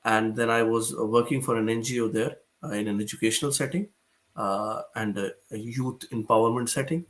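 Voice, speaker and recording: A man from Pakistan, a guest author answering interview questions hesitantly, often pausing and restarting. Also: fairly low pitch. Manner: hesitant, with frequent "uh" fillers and restarts.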